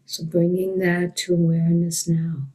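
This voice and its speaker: A woman teaching a guided meditation in a gentle, unhurried way with long pauses. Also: fairly low pitch, monotone, low-quality recording.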